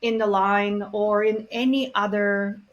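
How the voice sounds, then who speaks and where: A woman, a guest in an interview, talking earnestly and reflectively with frequent pauses and fillers. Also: mid-range voice, little pitch movement, dull audio. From Bulgaria. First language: Bulgarian. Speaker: guest expert on multilingual parenting. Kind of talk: conversational interview.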